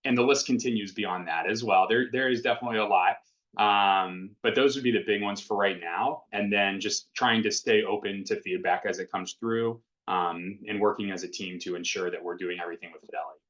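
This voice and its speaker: A man's voice: on the low side, fairly even intonation, a little fast, fairly bright tone. A man running a school town hall as principal, answering questions in an earnest, reassuring way with many fillers. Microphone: video call. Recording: dull lo-fi video call audio.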